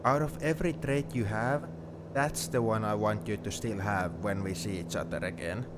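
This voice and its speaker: A man's voice: fairly low pitch, normal speed, lightly acted. A man playing a sentimental, teasing young friend heading to university, in an intimate one-sided ASMR roleplay. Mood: wistful, reflective. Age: young adult. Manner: reflective, playfully defensive. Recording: steady car noise behind the voice.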